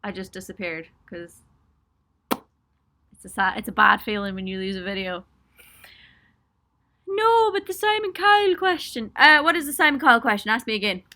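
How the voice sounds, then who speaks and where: Young woman rambling on a webcam stream from her little home studio, bright voice, giggles a lot, lots of ums. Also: fairly high pitch, big pitch swings, normal speed, long pauses. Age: young adult. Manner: chatty and giggly, self-mocking, frequent ums and laughs. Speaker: musician hosting a livestream for supporters. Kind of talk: casual live chat answering viewer questions. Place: small indoor home studio room.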